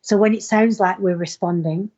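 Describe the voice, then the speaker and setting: An actress talks in an informal interview, earnest and full of emphatic enthusiasm. Her voice sits at an average pitch for a woman. The recording is slightly dull and lo-fi. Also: fairly even intonation, moderate pace, a little mellow. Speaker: actor being interviewed. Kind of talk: informal interview, promoting an audio play.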